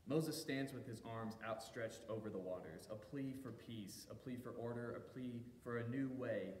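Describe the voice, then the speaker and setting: A man delivering a sermon as a pastor, telling the story in measured phrases with deliberate pauses and emphasis. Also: darkish tone. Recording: echoey hall reverb, faint low rumble.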